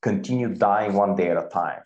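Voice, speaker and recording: A middle-aged man from Bulgaria with a Bulgarian accent, telling a personal story deliberately and emphatically as an interview guest. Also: low-pitched, a little mellow, highs cut off. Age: 40s. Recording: echoey room.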